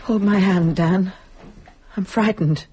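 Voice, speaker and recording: An actress playing a frightened, awed wife in a radio horror drama, speaking earnestly and slowly. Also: female voice, medium pitch, mellow, a little hiss or hum, lightly acted.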